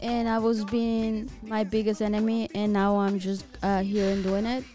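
A woman podcast host with an accent, speaking earnestly in halting phrases and swearing casually. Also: mid-range voice, level tone, mellow. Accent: non-native English. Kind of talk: casual chat. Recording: music playing in the background.

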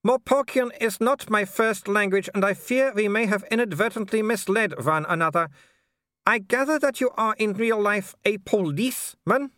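Male narrator playing an icy, falsely sweet noblewoman; high, prim and clipped, in a dry booth. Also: normal speed, fairly bright tone, no room sound. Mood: haughty. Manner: prim, clipped and icily polite. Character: haughty, falsely sweet aristocratic baroness, middle-aged woman.

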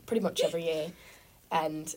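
A teenage exchange student from the UK chatting casually with friends, giggly and self-conscious. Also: female voice, sits low, steady tone, fairly quick, poor audio. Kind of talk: casual chat.

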